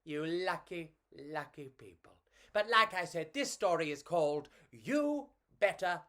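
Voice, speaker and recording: A woman reads a storybook for children in a slightly low, richly varied voice. Her delivery is theatrical and playful, taking its time over each phrase. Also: expressive, a little slow, pushed and bright. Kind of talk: expressive storybook reading for children.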